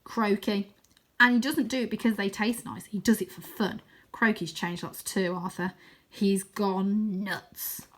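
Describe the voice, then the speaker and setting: A woman reading aloud puts on an excitable boy, half wizard and half ninja, from a children's story. He is boastful and chummy, and he delivers everything with theatrical relish. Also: medium pitch, measured pace. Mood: confiding, urgent. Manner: dramatic, switching between shouts and whispers.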